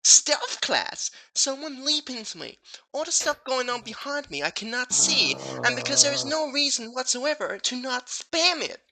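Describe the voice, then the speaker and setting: A man's voice: very high voice, very animated, moderate pace, gravelly, sharp tone, low-quality recording. A man reading forum posts aloud in a mocking, sarcastic and argumentative style. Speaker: co-host.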